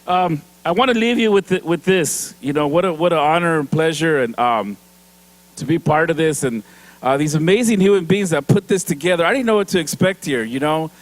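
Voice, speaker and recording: A man's voice: a little high, fairly quick, low-quality recording. A man giving an award speech on stage, chatty and joking, often laughing and slipping into Spanish. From Mexican-American from San Diego. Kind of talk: casual speech with stage humor. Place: event stage before an audience.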